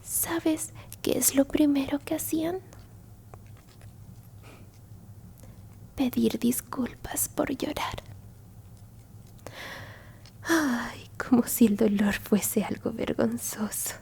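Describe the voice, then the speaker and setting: A woman's voice: high-pitched, creaky, dark tone, exaggerated voice. A woman playing a kindly old alien grandmother in a whispered ASMR roleplay, tenderly and unhurriedly comforting a lost human. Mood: tender, consoling. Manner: tender, unhurried, reassuring, near-constant whisper.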